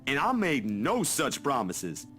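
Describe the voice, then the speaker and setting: An excitable, defensive young man with a very animated, mid-pitched voice. He protests expressively in a visual novel scene, with light music behind him. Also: measured pace, full character voice. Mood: indignant. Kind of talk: dramatic visual novel voice acting.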